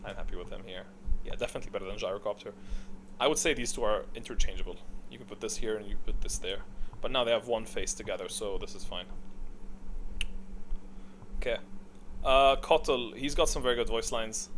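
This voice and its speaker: A man giving casual, unscripted commentary on a video game, opinionated and thinking out loud as he ranks things. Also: on the low side, a little fast, pauses often, slight background noise, rough recording.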